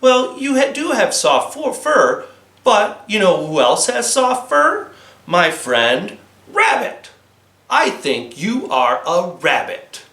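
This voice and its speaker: Man doing a high-voiced, skeptical little girl, Flossie. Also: huge pitch swings, takes it slowly, sharp tone, exaggerated voice. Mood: skeptical, unimpressed. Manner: plain-spoken, matter-of-fact. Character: bold, unimpressed, skeptical young girl named Flossie. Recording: roomy, echoey sound.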